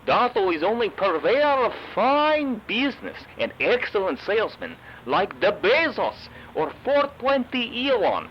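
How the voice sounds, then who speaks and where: A cheerfully shifty little shopkeeper for a comic audio drama, with a high voice that swings widely in pitch. He speaks slow, broken English and refers to himself in the third person. The sound is muffled and slightly noisy. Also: very animated. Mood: sly, polite. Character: Dado, cheerful evasive shopkeeper of mysterious donut business. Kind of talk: comedic audio drama character acting.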